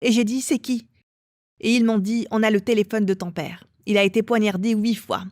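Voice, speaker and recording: A woman telling her own story as an interviewee, in a calm and measured way. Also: medium pitch, no room sound, clean studio sound. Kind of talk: personal testimony.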